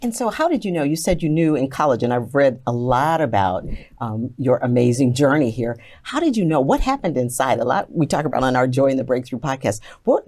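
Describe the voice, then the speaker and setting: A woman's voice: extremely low pitch, a little mellow. A woman hosting a podcast and putting questions to a guest in a conversational style. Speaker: podcast host. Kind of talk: conversational interviewing.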